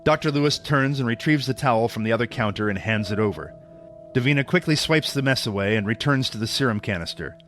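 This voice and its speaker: A man serves as narrator of a scripted audio drama, telling the action in prose. His voice sits at a medium pitch, with faint music behind it. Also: lively intonation, fast talker, a bit bright.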